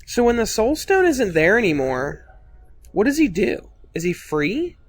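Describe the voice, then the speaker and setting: A man's voice: high-pitched, sing-song, unhurried. A man co-hosting casual film reaction commentary, slangy and full of questions. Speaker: movie commentary co-host.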